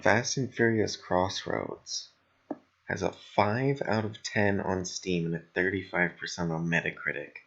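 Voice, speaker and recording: Young male podcast host, very low and raspy with vocal fry, blunt and foul-mouthed, chatting loosely. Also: a little slow, a bit bright, muffled recording. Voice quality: slightly raspy, gravelly. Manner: rambling, blunt. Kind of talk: casual chat, heavy swearing.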